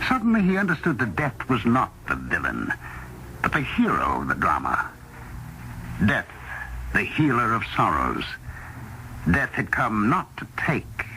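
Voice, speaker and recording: An actor playing a wry, easygoing middle-aged mystery writer in a theatrical, expressive old-time radio drama style. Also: male voice, on the low side, heavily acted, rough recording. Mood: grand, musing. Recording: old radio drama, slight background noise.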